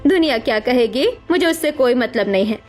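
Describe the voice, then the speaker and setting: Woman acting a high-voiced melodramatic cartoon mother. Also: expressive, fairly quick, darkish tone, faint music behind, natural voice. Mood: bitter, cold. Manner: emphatic, melodramatic. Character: harsh, scornful, status-proud mother, later tearfully remorseful.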